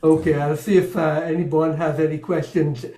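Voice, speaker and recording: A man moderates a talk over a video call in an easy, chatty way. His voice sits a bit high but sounds mellow. The room has an echo. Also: normal speed. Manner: relaxed and chatty, laughs lightly.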